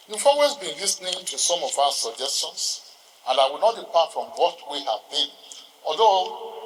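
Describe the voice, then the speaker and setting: High-pitched Nigerian man orating in a hall. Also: sharp tone. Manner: oratorical, emphatic, deliberate phrasing with rhetorical repetition. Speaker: senior lawyer addressing a court. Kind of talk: formal ceremonial speech. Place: large courtroom hall. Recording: distant mic, long hall reverb.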